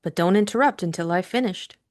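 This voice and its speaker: Audiobook heroine, read by a woman: frank young lady, slightly low pitch, brisk and matter-of-fact. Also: a little mellow, lightly acted. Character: Bundle, spirited, frank, teasing young woman. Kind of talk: audiobook character acting.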